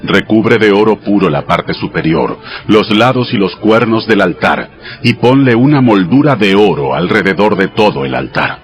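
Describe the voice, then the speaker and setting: A man playing God as a solemn, commanding male deity, delivering measured commands in a dramatized audio scripture. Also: fairly low pitch, animated, natural voice. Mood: solemn, instructive. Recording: music behind the voice. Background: music.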